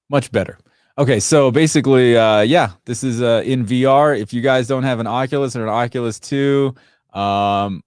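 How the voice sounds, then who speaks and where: A man's voice: mid-range voice, dry recording, studio quality. A man born in Sri Lanka, hosting a casual tech livestream and reading viewer comments, rambling with lots of fillers. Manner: chatty, rambling, many fillers and restarts.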